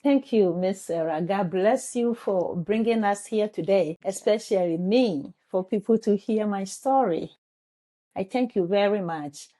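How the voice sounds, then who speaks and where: A woman with a Ghanaian accent, telling her life story as a guest in an interview, earnest and grateful, with pauses and restarts. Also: medium pitch, dark tone. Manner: earnest, grateful, slow and halting.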